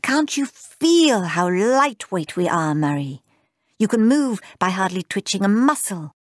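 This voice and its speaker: A woman voicing a gentle, playful great-uncle, talking in a slow, smooth, velvety drawl. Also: mid-range voice, sing-song, dry recording, clean studio sound. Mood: dreamy.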